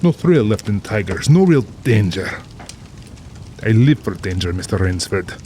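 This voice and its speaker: A man's voice: fairly low pitch, big pitch swings, a little slow, warm tone, a little hiss or hum. A man playing a cultured, proud general and big-game hunter in an audio drama, speaking formally and boastfully with polished self-assurance. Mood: proud, intense. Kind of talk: full-cast audio drama acting.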